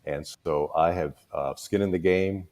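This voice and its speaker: A man in his sixties, originally from Cuba, speaks in a very low voice. He introduces himself as a school board candidate at an easy pace, with frequent pauses and fillers. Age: 60s. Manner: relaxed, halting with many fillers. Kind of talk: casual panel chat.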